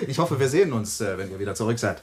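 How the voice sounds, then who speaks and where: A man's voice: fairly low pitch, animated, very fast, a little hiss or hum, close to an everyday voice. An actor playing a teasing male friend, chummy and joking, hesitating with little fillers. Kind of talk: radio play acting.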